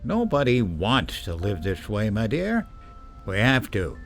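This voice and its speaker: A man's voice: lowish voice, huge pitch swings, measured pace, faint music behind, heavily acted. An actor playing an anxious, evasive middle-aged father and war official in a radio drama, speaking hesitantly and with reserve. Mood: weary, reflective.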